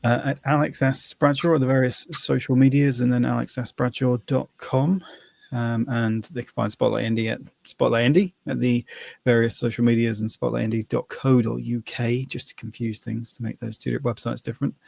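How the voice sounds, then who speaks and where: A male co-host chats casually on a podcast. His mid-pitched voice has a noticeable fry. The sound is dry and close, without audible room. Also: steady tone, moderate pace, darkish tone, dry recording.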